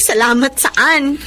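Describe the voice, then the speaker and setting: A woman playing a caring, encouraging friend and colleague in a Filipino radio drama, with expressive delivery. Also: sits high, slight background noise, heavily acted.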